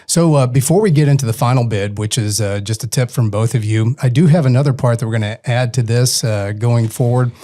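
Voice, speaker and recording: A man's voice: medium pitch, a little fast, hardly any pauses, warm tone. A man hosting a casual interview podcast. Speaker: podcast host.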